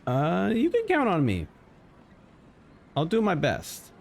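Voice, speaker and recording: A man streaming a game live with casual, halting commentary, often repeating himself as he talks to his chat. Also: high voice, huge pitch swings, gravelly. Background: music.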